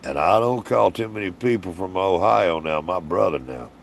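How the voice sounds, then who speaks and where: A man's voice: low voice, expressive, moderate pace, vocal fry, a little hiss or hum. A man hosting a casual livestream Q&A, folksy and rambling, reading out viewer comments in a tired, strained voice. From Alabama, US. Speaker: livestream host.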